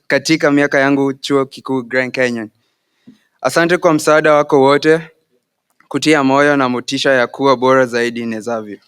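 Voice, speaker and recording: A man's voice: medium pitch, a bit bright, smooth. A young man from Kenya, a Swahili speaker, giving an earnest, devout graduation speech. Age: young adult. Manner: earnest, devout, measured delivery.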